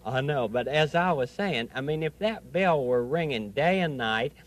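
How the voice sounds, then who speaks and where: A man's voice: mid-range voice, expressive, moderate pace, close to an everyday voice. A man playing a fussy, easily bothered male owl puppet in a children's show, with a folksy, insistent manner. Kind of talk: children's television puppet play.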